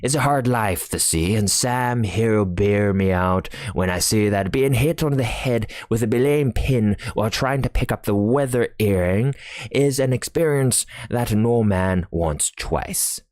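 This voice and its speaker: A man's voice: lowish voice, a little slow, darkish tone, dry recording, voice pushed up, lightly acted. Guy doing a salty old-time sailor for a kids story, hearty speechifying, drops his g's, dry recording. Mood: salty, grumbling. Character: rough, hearty old-fashioned sailor, bossy and chummy.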